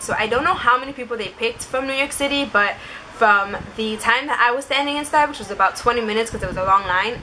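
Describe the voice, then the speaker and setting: A woman's voice: on the high side, pushed and bright, slight background noise, rough recording. A woman vlogger chatting casually and informally, rambling along as she shares her experience and advice, often saying "like". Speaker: vlogger sharing audition experience. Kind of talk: casual chat to camera.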